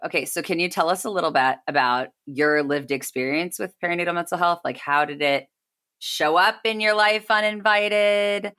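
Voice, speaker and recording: A woman co-hosting a podcast, asking gentle, probing interview questions. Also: fairly low pitch, fairly even intonation, normal speed, clear, resonant tone. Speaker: podcast co-host.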